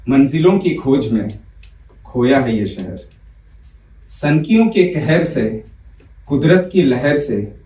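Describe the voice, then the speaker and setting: A young man on a comedy club stage, reciting poems in a measured way and bantering quickly between them. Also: a little high, a little mellow. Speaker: poet and comedian. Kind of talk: poetry recital with stand-up banter. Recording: roomy club sound from a distance.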